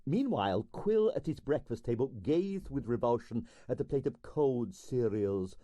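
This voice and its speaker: Mellow man narrating a comic novel. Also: medium pitch, lively intonation, moderate pace, no echo. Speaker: audiobook narrator.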